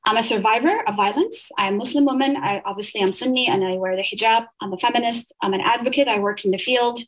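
A woman speaks in a somewhat high voice. She is earnest and measured, reading poetry and then explaining her research, stumbling and restarting now and then. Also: low-quality recording. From Saudi Arabia. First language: Arabic.

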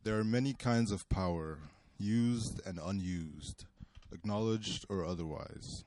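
A man from Cameroon introducing a panel talk and reading a text aloud, pausing often with ums. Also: on the low side, a little mellow. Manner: halting, with many ums. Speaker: panel speaker and reader.

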